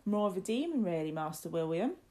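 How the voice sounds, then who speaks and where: A woman's voice: mid-range voice, animated, moderate pace, a little mellow, close to an everyday voice. A woman voicing a sly working boy in a storybook, teasing with mock deference and ominous relish. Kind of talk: storybook character voice.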